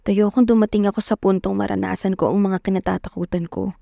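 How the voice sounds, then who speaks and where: A woman's voice: mid-range voice, level tone, fast, creaky, mellow. An actress playing a poor, determined, lovestruck young provincial woman who narrates her own story earnestly and introspectively. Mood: torn, fearful. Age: young adult. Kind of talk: scripted first-person dramatic narration. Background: music.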